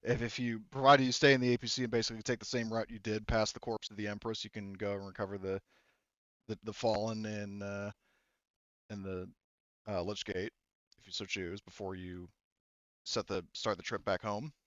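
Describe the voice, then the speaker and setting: A man working as game master, narrating an improvised story in a halting, conversational way with some casual swearing. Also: fairly low pitch, little pitch movement, fairly bright tone, no room sound, muffled recording.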